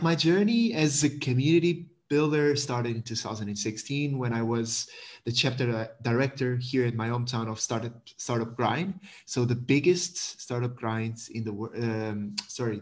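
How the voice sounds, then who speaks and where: A man in his thirties from Portugal, speaking English on a video call, eager and full of fillers, restarts and repeated words. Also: mid-range voice. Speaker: guest, community manager.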